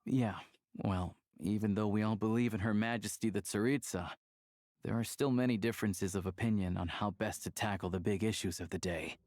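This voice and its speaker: A man's voice: lowish voice, fairly even intonation, fairly quick, a little mellow, no echo, natural voice. An actor playing an easygoing, worldly young man in a video game, talking in a conversational, confiding way. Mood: explanatory. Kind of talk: video game dialogue acting.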